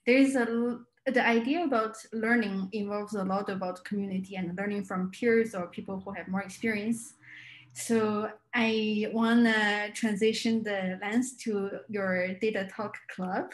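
A woman's voice: medium pitch, fairly even intonation, a little slow. A woman in her twenties hosting a conversational interview on a video call, recorded in a roomy-sounding indoor space. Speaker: interviewer, host.

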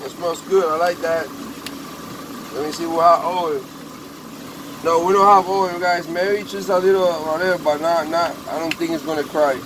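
A man's voice: on the high side, fairly even intonation, moderate pace. A man with a Spanish accent, a native Spanish speaker, chatting casually on a phone camera in his workshop as he shows a broken chainsaw to the customers of his parts store. He talks to the machine as if it were a pet. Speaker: eBay parts seller. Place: cluttered workshop indoors. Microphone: phone camera held close. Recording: phone recording with clear engine noise. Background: engine and machine noise.

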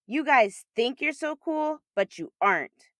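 A woman's voice: sits high, lively intonation, measured pace, dry recording, clean studio sound, pitched above the performer's own voice. A woman voicing a shy, earnest third-grade boy with big dreams in a storybook. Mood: defiant.

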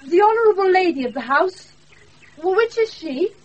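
A British actress playing a quick-witted young woman disguised as a saucy page boy in a Shakespeare radio play, recorded off a wireless. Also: very high voice, lively intonation, dull audio. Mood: playful, flattering. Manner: saucy, theatrical, breaking into laughter.

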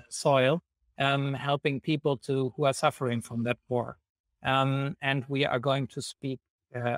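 Young guy hosting a video call panel, kinda hesitant, dry close sound, mid pitch. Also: no room sound. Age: young adult. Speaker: host, volunteer coordinator. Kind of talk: hosting an online panel interview. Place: indoor room, video call. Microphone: wired earphones on video call.

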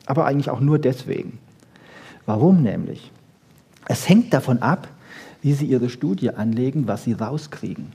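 Husky, mellow man giving a lecture. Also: mid-range voice, expressive, normal speed, pauses often. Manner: conversational, rhetorical questions, tags statements with "ja". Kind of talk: popular science lecture to an audience.

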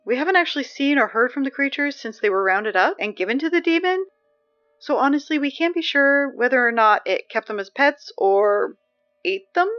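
Woman playing a school announcer, chatty, flustered, higher voice, slightly dull sound. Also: animated, moderate pace, soft background music. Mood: chipper. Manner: chatty, growing flustered and panicky. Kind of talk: audio drama acting.

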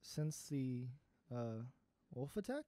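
A performer plays a sentimental old friend in an audio drama, earnest and pleading. The voice is mellow and sits mid-range, with hesitant, natural phrasing. It is recorded dry. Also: mid-range voice, sing-song, slow pace, no room sound. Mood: concerned. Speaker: voice actor in student audio drama.

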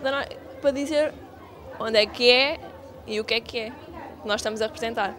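A woman's voice: a little high, fairly quick, a bit bright, some chatter behind. A young woman with a European Portuguese accent explaining items at an outdoor fair stand into a handheld mic. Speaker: student exhibitor. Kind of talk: casual explanation. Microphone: handheld reporter mic.

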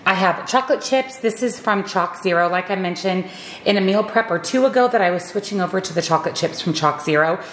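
A woman's voice: medium pitch, fairly quick, fairly bright tone, echoey room, slight background noise, rough recording. A woman giving upbeat step-by-step meal prep instructions in her home kitchen. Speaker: cooking vlogger.

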